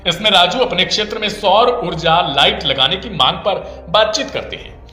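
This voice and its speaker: High-pitched guy reading news script, echoey with long reverb, music under it. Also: moderate pace, pushed and bright. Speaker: news presenter.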